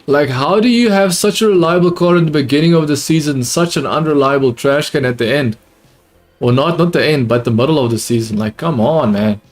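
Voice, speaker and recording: A young man streams live reactions to a motor race, talking in English that is not his first language, with an accent that comes close to British. He gets excited, repeats his own phrases and calls his viewers dude. Faint music plays low behind him. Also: a little fast. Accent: close to British, not fully. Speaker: livestream host watching a race. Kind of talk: casual live reaction commentary.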